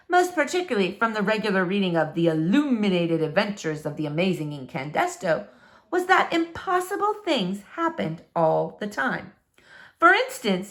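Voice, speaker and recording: A woman reading a children's book aloud in an expressive, dramatic storytelling manner, with deliberate, emphatic phrasing. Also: medium pitch. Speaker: storybook reader.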